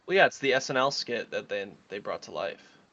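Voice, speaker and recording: An easygoing young man with a mid-range voice, co-hosting a casual podcast. His mic sounds slightly dull and lo-fi, and he leads the talk along in a relaxed way. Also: medium pitch, fairly quick. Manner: easygoing, steers the show into news segments. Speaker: podcast co-host.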